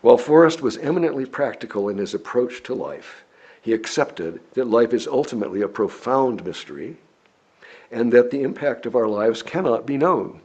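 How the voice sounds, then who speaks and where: An American man reading a sermon from a script, speaking in measured, deliberate phrases with emphatic pauses. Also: medium pitch, animated, darkish tone, husky, muffled recording.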